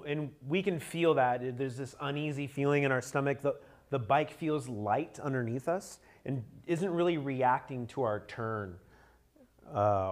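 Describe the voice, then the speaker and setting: A man in his thirties teaching a motorcycle cornering class in a conference room, energetic and joking, picked up by a camera across the room. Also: mid-range voice. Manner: energetic, joking, talks with hands at a whiteboard. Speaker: riding coach. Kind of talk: classroom motorcycle clinic lecture. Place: conference room with a group around tables. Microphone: camera at the back of the room, no visible mic.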